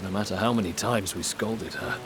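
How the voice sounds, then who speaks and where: An actor playing a middle-aged bereaved father in a video game, consoling and proud, with a gentle, earnest, steady manner. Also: lowish voice, brisk, close to an everyday voice. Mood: fond remembering. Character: warm, consoling, proud father mourning his daughter. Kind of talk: dramatic video game voice acting. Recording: rain and music behind. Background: rain, music.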